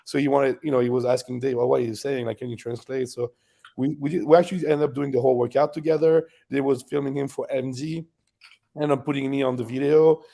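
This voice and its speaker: Gravelly French-accented man, warm tone. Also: medium pitch, fast talker. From France. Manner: relaxed, laughs often, frequent fillers. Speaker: guest bodybuilder. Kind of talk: casual interview storytelling.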